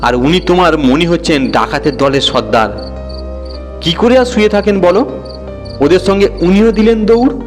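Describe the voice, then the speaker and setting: A man voicing a sharp-tongued, nagging wife who scolds with scornful certainty in an audio story. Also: high-pitched, moderate pace, long reverb tail, soft background music, voice pushed up, close to an everyday voice. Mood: scornful explaining. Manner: scolding, snappish, certain of herself.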